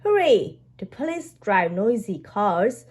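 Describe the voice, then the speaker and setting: A woman's voice: mid-range voice, very animated, takes it slowly, darkish tone, husky, heavily acted. A woman voicing an eager, exclaiming young boy fox in a children's storybook. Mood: excited. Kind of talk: storybook read-aloud.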